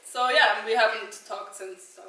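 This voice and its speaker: Young woman, Swiss-raised, chatty and giggly, bright tone, roomy distant mic. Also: medium pitch, normal speed. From Switzerland. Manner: chatty, giggly, rambling with fillers. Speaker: vlogger answering questions. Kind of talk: casual chat. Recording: echoey room, mic at a distance.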